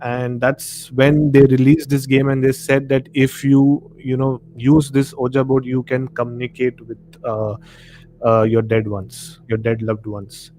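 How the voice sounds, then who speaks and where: A man's voice: medium pitch, level tone, a little slow, warm tone. A man with an Indian accent hosting a casual live discussion, explaining things in a conversational way and often saying "you know". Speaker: live show host. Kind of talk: casual discussion of horror and conspiracy. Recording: noisy live stream audio.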